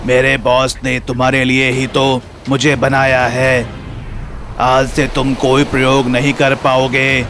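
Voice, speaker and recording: Man voicing a slow, menacing robot wolf. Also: mid-range voice, completely flat delivery, noisy background, voice pushed down, close to an everyday voice. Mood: mocking, menacing. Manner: slow, taunting, deliberate. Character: menacing robot wolf henchman, mocking and boastful. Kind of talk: children's animated story villain.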